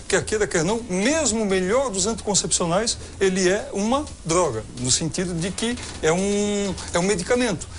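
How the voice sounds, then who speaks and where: A man with a Brazilian Portuguese accent, a gynecologist answering viewers' questions on a TV health show in a methodical, explanatory way. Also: sits high. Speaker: gynecologist guest expert.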